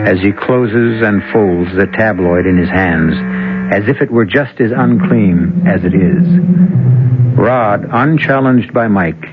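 A man narrating a radio drama scene in a steady, descriptive way. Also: fairly low pitch. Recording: tinny old radio broadcast with hiss.

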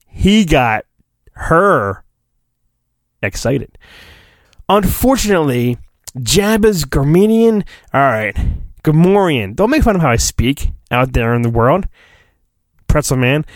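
Animated, slow-paced American man, wisecracking storybook reader. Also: a little high, clean studio sound. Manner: informal, wisecracking, self-interrupting. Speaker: podcast host. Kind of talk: dramatic storybook reading with joking asides. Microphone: phone. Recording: homemade phone recording, roomy echo, faint music.

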